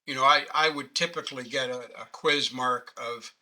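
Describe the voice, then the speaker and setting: Bright-voiced older Canadian man teaching. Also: medium pitch, fairly even intonation, normal speed. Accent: Canadian English. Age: 60s. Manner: patient, conversational, self-deprecating. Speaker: webinar presenter. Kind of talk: casual online teaching. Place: home office. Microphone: webcam video call.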